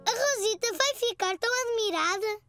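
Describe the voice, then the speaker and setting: Um garotinho animado, com voz muito aguda e ansiosa, ri-se fácil e fala num português europeu de desenho animado. A gravação tem uma música infantil forte por baixo. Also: female voice, extremely high pitch, animated, moderate pace, music in the background. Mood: eager. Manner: bouncy, eager, giggly child voice. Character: cheerful excitable little bald boy, four.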